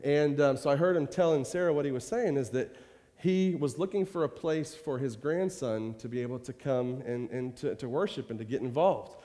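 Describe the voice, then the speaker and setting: A man's voice: medium pitch, normal speed. A man preaching to his church while nursing a cold, speaking in a loose, rambling way full of fillers. His voice sits in the middle range for a man. It rings out with the long tail of a large sanctuary. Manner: warm rambling talk with many fillers and repeated "I tell you". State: has a cold, occasional cough. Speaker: pastor. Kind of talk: informal church address. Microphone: handheld or stage microphone. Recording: reverberant church hall.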